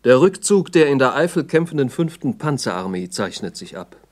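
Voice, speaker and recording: A man's voice: mid-range voice, big pitch swings, a little fast. A man narrating a 1960s radio play as a sober, formal, factual report.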